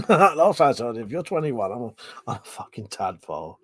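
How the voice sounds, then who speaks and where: Man in his forties, live streamer, lively up-and-down pitch, dry close sound, sweary banter. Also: moderate pace, husky, no echo. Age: 40s. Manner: swearing, laughing, animated banter. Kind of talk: casual live stream chat reading comments. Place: home room.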